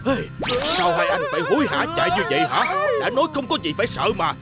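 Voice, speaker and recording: A man's voice: extremely high pitch, huge pitch swings, quick pace, over loud music, heavily acted. A man playing a boastful, swaggering police chief in a cartoon dub, pompous and declamatory. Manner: pompous, declamatory, repeats himself. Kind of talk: dubbed children's cartoon acting.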